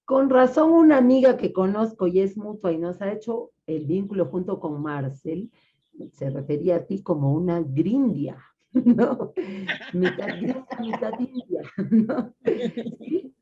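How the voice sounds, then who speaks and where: Dark-toned chatty interviewer, muffled. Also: medium pitch, animated, measured pace, husky. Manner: chatty, hesitant with fillers, laughs easily. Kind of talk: conversational interview. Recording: muffled lo-fi recording.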